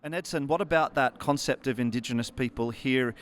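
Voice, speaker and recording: A man's voice: medium pitch, a little fast, slight background noise. A man moderating a panel, introducing guests and asking questions in a friendly, conversational way. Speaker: moderator, host.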